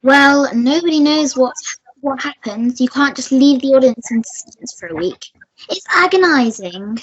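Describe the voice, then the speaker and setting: A woman's voice: sits high, lively intonation, normal speed, breathy edge, muffled recording. A woman playing an outspoken, indignant audience member phoning in to a radio play. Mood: exasperated. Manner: assertive, complaining. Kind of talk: scripted radio play dialogue.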